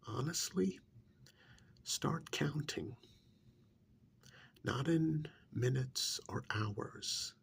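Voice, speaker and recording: A man playing a calm, menacing vampire emissary in a soft-spoken ASMR role-play, half-whispering in a slow, condescending way. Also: on the high side, exaggerated voice. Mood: cold, quietly threatening. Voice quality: breathy, half-whispered, creaky. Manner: slow, deliberate, condescending, hesitant pauses.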